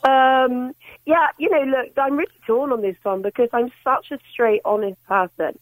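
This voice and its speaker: A middle-aged woman calling in to a radio show, with a slightly croaky voice, putting her opinion firmly. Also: high-pitched, moderate pace, dry recording, rough recording. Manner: firm, opinionated, talks in long runs. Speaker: caller. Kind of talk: radio phone-in call.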